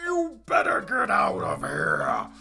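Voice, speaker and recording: A woman voicing a big, lonely, bitter bear in a storybook, loud and threatening with drawn-out words. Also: low-pitched, huge pitch swings, gravelly, music in the background, exaggerated voice. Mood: angry.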